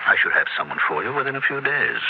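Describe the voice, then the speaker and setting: A male professor in a vintage radio play, measured and reassuring. His articulate delivery rises and falls widely. The sound is dull, like an old broadcast, with faint noise. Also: medium pitch, very animated. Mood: placating. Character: smooth-talking male occult professor, placating husband. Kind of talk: 1970s radio drama acting.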